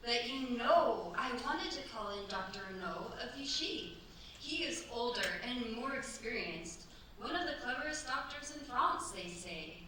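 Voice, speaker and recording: An actress as a defiant young wife, mistress of a chateau, in a period radio play. Her voice is high and bright, imperious and theatrical. It rings through a very echoey hall. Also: lively intonation, measured pace. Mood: puzzled, uneasy. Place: cultural centre stage, live performance. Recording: echoey stage, distant mic, faint noise.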